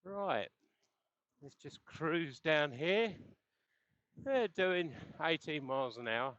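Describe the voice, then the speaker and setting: A man's voice: fairly high pitch, sing-song, slow, a bit bright, no room sound, lo-fi sound. A man chatting casually while cycling outdoors, rambling and chuckling, recorded through a helmet headset mic. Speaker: cycling vlogger. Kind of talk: casual chat while riding. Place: outdoors on a bike on the road. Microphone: helmet intercom headset mic.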